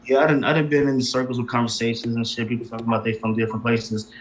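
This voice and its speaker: Rapper dude talking fast, lots of slang, laid back, repeats stuff. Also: male voice, mid-range voice, flat delivery, poor audio. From Arizona. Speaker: independent rap artist, guest. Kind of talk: casual interview chat.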